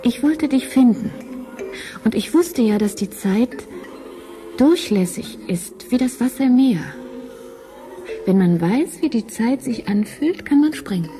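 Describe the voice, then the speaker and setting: An actress playing a dreamy, devoted woman time traveller in an intimate radio drama, hushed and breathy. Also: a little high, lively intonation, measured pace, long pauses, soft background music.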